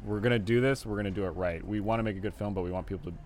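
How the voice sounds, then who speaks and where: A man, a film director, answering interview questions in a relaxed, rambling way full of fillers, recorded live at a festival venue. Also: on the low side, very fast, a little hiss or hum.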